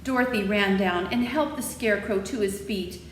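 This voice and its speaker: A woman reading a children's story aloud with expressive, animated storytelling. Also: medium pitch, normal speed. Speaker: library storytime reader. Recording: echoey room with faint noise.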